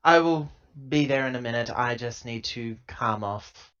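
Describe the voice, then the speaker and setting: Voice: medium pitch, unhurried, noisy background, lightly acted. A player voicing a stressed, hard-drinking female corporate agent in a tabletop game, dry and curt, on a lo-fi recording. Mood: strained. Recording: dull lo-fi laptop recording.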